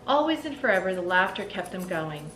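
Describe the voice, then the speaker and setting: A middle-aged woman reading a storybook aloud expressively, with deliberate phrasing and dramatic emphasis. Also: sing-song, fairly bright tone, faint music behind. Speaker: read-aloud host. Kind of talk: storybook read-aloud narration. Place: indoors in a small room lined with bookshelves. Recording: roomy echo, clear background rumble.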